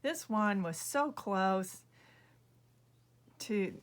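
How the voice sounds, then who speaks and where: A woman reading her own children's book aloud as a measured, expressive storyteller. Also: medium pitch. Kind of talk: storybook narration read aloud.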